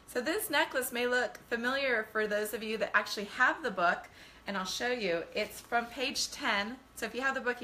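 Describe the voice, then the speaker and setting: A woman's voice: on the high side, bright, forceful tone, slight background noise. A woman hosting a casual live bedtime-reading stream as a children's author, chatting to viewers in a gushing, enthusiastic way. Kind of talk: casual livestream chat with viewers.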